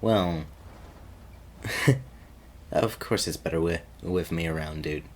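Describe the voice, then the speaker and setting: A man playing an easygoing, teasing young guy sweet on his friend, talking casually and haltingly in an audio roleplay. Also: sits low. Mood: relaxed, friendly. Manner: halting and stammering, chuckling, casual slangy speech.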